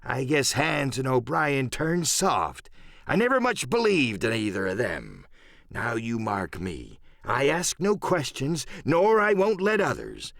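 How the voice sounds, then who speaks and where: A cunning old pirate sea cook for an audiobook. His pitch leaps and plunges through rustic sailor talk, blustering one moment and wheedling the next. The sound is close and dry. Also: wildly swooping pitch, a little slow, gravelly, fairly bright tone, dry recording, heavily acted. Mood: confiding, scheming. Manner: rustic sailor speech, emphatic and wheedling by turns. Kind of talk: dramatic audiobook character acting.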